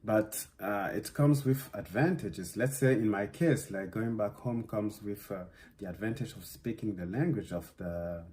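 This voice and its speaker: A young man from West Africa, a native Susu speaker, talking thoughtfully in a conversation about his home, with many pauses and fillers. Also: lowish voice, a little mellow. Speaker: interviewed artist. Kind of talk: reflective conversation.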